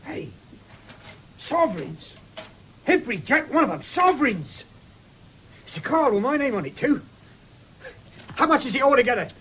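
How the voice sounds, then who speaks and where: An actor playing a gruff, sceptical farmer and father with a rural English accent in a British radio drama, blunt, grudging and sarcastic. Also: extremely high pitch, sing-song, normal speed, pauses often, sharp tone, slight background noise, exaggerated voice. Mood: excited.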